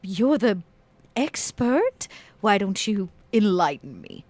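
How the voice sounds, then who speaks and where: A woman's voice: mid-range voice, big pitch swings, takes it slowly, darkish tone, no echo. A woman playing a tabletop role-playing game, speaking in character with a teasing, flirtatious manner. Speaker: player.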